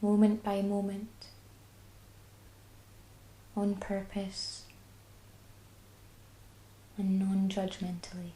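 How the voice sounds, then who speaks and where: Slow soft-spoken Scottish woman. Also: mid-range voice, level tone, reverberant, a little hiss or hum, rough recording. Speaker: mindfulness guide. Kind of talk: guided body scan meditation.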